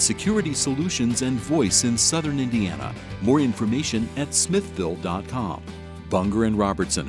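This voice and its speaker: Lively low male announcer over guitar music. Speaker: sponsor announcer. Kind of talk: scripted sponsor credits.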